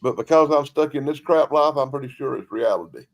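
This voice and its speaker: A man's voice: medium pitch, a little fast. A man in a casual group chat, trading wry, self-deprecating quips.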